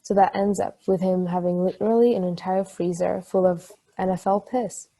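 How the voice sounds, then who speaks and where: A woman playing a chatty partner in a table read, telling an anecdote in a rambling, conversational way with a slightly husky voice. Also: fairly low pitch, little pitch movement, moderate pace, warm tone. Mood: amused storytelling.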